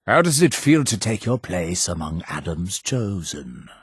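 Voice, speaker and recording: A zealous cult leader for a video game, solemn and fervent. His delivery is slow and grandly preaching, rising and falling dramatically. The voice is husky with a creaking edge, in formal, ceremonial phrasing. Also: low voice, big pitch swings, dry recording, clean studio sound, heavily acted. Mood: solemn, measured. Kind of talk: scripted video game character dialogue.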